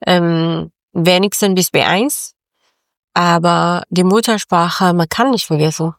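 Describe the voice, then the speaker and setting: A woman's voice: lowish voice, steady tone, slow pace, dry recording, studio quality. A woman from Afghanistan, a Dari speaker, speaking hesitant German full of fillers as an interview guest. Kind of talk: radio interview.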